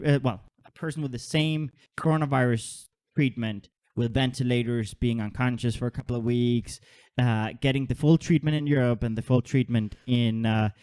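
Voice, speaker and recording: A man born in Holland with Danish citizenship, hosting a podcast in casual, animated chat and often repeating words as he thinks. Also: medium pitch, dry recording. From Denmark and Holland. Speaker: podcast host.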